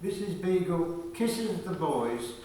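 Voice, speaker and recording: A man narrating a scripted radio play in a measured storytelling manner. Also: a little high, expressive, dark tone, slight background noise. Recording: very echoey room, far from mic.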